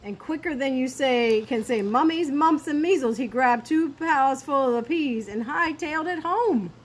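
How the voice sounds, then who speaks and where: A woman storyteller reading a children's story aloud with expressive, theatrical emphasis. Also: high voice, normal speed.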